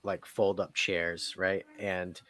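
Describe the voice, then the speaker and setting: A man's voice: sits low, little pitch movement, takes it slowly, a bit bright, dry recording. A man co-hosting a casual podcast, telling stories with dry, sarcastic humor. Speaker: podcast co-host.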